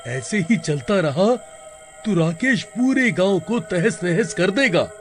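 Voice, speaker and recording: A man's voice: high voice, lively intonation, slow, faint music behind, full character voice. A man playing an alarmed, earnest village man in a horror-comedy story, speaking anxiously. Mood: worried.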